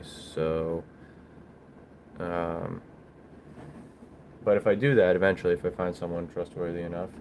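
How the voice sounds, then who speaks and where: Low-voiced man chatting casually on a livestream. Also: darkish tone, a little hiss or hum. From Bulgaria. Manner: halting, rambling, informal. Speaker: livestream host, small business owner. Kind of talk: casual livestream chat answering viewers.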